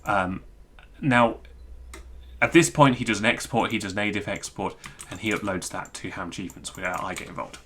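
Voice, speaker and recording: A man giving an informal technical screencast walkthrough, thinking aloud in a halting, self-interrupting way. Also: fairly low pitch, fairly bright tone, a little hiss or hum. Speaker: presenter explaining technical material.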